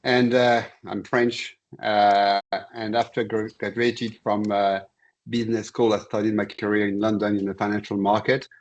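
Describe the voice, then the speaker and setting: A man in his sixties from Senegal, a commodity trader and interview guest, telling his career story in a measured, halting way over a video call. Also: lowish voice, little pitch movement, lo-fi sound. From born in Senegal. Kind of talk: conversational career account.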